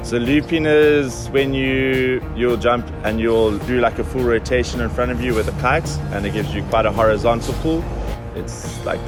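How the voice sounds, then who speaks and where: A man of thirty with a South African accent, chatting casually in an interview. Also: mid-range voice. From Durban, South Africa. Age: 30s. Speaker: interviewee, kite school owner.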